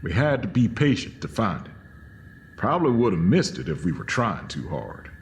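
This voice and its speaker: Male, folksy reassuring trail leader for audio drama; very animated pitch, ambient noise behind. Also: normal speed. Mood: gentle, coaxing. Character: Silver, gentle, folksy, patient man leading weary companions.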